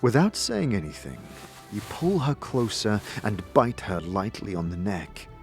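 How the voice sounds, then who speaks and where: A man narrating a dramatic second-person story as a game master, in a measured, deliberate, atmospheric manner. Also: lowish voice, big pitch swings, darkish tone. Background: music.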